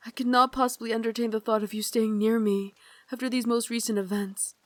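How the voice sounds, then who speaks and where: Female performer as young Victorian vampire lady, anguished and self-denying, formal dramatic diction, higher register, bone-dry intimate ASMR sound. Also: little pitch movement, no echo, lightly acted. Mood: regretful, urgent. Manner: formal, dramatic, slow confiding phrasing with breathy whispered passages. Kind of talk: intimate ASMR roleplay acting.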